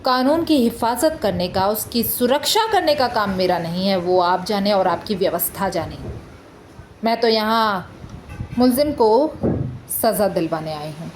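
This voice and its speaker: High-pitched, impassioned woman lawyer, acted. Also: slight background noise, low-quality recording. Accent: Indian. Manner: rhetorical, deliberate, with dramatic pauses and emphatic questions. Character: impassioned, indignant female prosecuting lawyer addressing a judge. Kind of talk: theatrical courtroom monologue for an audition.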